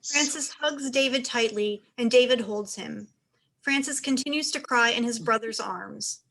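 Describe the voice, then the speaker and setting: Woman narrator reading out stage directions from a script, voice a bit high. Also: normal speed, vocal fry, fairly bright tone, rough recording.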